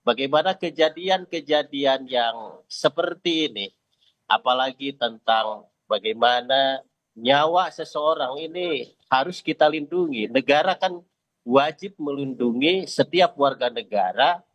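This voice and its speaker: A man's voice: medium pitch, unhurried, no echo. Man on dull, dry audio. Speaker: interview guest. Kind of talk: live news commentary.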